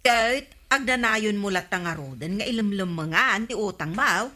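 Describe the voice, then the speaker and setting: An actress with an Ilocano accent playing a nosy, chiding neighbor who nags about debts in comedy radio drama style. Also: female voice, medium pitch, animated, normal speed, sharp tone.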